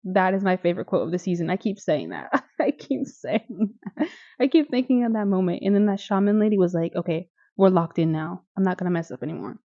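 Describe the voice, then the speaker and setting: A young woman with a Midwestern American accent, chatting casually and animatedly as she recaps a TV series for her viewers, with quick asides and laughs. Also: fairly low pitch, animated, warm tone, no room sound, muffled recording. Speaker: video reviewer.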